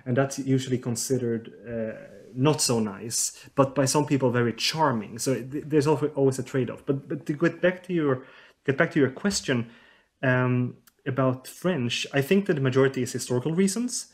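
A man from southern Sweden answers interview questions as a linguistics expert, pausing often to find the words. His voice sits at an ordinary middle pitch, and he reasons through each idea carefully. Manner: thoughtful, hesitant with many fillers. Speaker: linguist guest.

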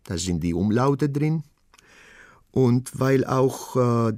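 Middle-aged greek guy, greek accent, german teacher, slow and careful w lots of "äh", dry close sound. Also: mid-range voice, expressive, a little mellow, dry recording. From Greece. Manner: measured, pausing often with "äh" fillers. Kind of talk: personal self-portrait talk.

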